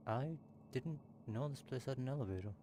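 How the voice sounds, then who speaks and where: A man's voice: mid-range voice, lively intonation, a little fast, noisy background. A man giving casual gameplay commentary, muttering at himself in exasperation. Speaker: gameplay streamer.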